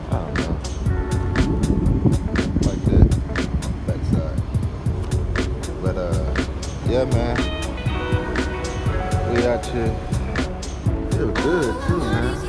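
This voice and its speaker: A man casually vlogging as a truck driver on a handheld phone, talking in a loose, slangy, rambling way. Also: on the low side. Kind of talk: casual handheld vlog narration. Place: on the move around truck yards, mostly outdoors. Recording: loud music behind the voice. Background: loud music.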